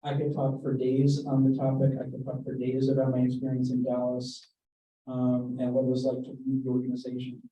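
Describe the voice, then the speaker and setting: Guy on a panel talking about his past, dark voice, far off mic in a roomy hall. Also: medium pitch, brisk. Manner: animated, restarts often. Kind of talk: personal recollection at a panel. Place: event room. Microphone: handheld microphone held away. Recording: roomy, distant, muffled event recording.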